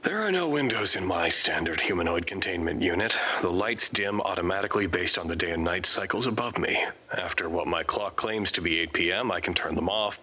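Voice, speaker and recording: An actor as a deep, weary, precise quarantined man. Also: pushed and bright, heavily acted. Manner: measured, formal, articulate. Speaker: narrator character. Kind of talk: audio drama monologue.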